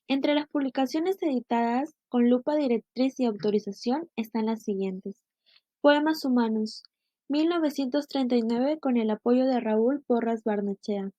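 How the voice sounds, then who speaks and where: A woman's voice: high-pitched, mellow, dry recording. A woman reading a narration, carefully listing titles. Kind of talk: read narration. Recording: dry, dull lo-fi recording.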